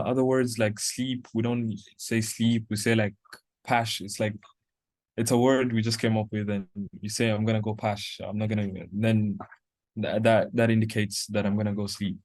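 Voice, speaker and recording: A nineteen-year-old man, answering interview questions in a halting way, often restarting and repeating words. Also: on the low side, a little mellow, dry recording. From raised in Uganda, born in South Sudan. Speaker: interviewee, university student.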